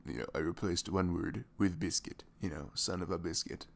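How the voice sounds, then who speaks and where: A man playing a sweet, caring boyfriend in an intimate ASMR roleplay, rambling casually and playfully with breathy asides that drop into whispers. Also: sits low, normal speed. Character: sweet, caring boyfriend chatting the morning after. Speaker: audio roleplay performer.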